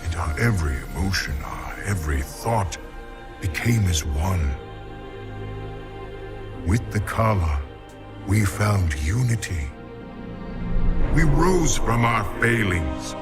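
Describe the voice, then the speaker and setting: An actor voicing a proud, devout alien warrior lieutenant with formal, declamatory speech. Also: male voice, sits low, very animated, slow, long pauses, heavily acted. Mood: proud, fervent. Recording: loud dramatic music under the voice. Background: loud music.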